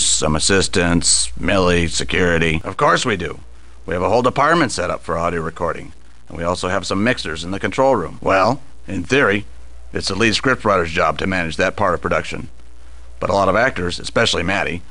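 An actor playing a harried, impatient TV studio boss in a video game, brusque and dismissive. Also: male voice, low voice, pushed and bright. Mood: busy, matter-of-fact.

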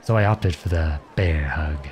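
A man playing a charming, gentle, teasing outlawed prince in an ASMR roleplay, courtly, playful and tender in his way of talking. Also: rumbling low, measured pace, slight background noise. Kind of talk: ASMR roleplay acting addressed to the listener.

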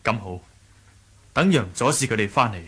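An actor playing an earnest, hot-headed young male martial arts sect leader in a radio drama, with a husky voice. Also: medium pitch, expressive, normal speed, sharp tone. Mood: calm, concerned. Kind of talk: Cantonese radio drama acting.

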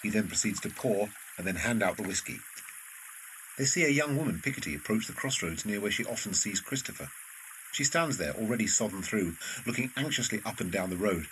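A man's voice: on the low side, expressive, fairly quick, a bit bright, slight background noise, low-quality recording. A man reading scripted stage directions as narrator of an audio drama.

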